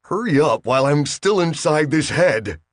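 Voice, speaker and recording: A man's voice: a little high, expressive, normal speed, dry recording, clean studio sound, pitched above the performer's own voice. A man doing a flustered young farm boy. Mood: desperate. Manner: pleading and exasperated. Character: bewildered, desperate young farm boy prisoner.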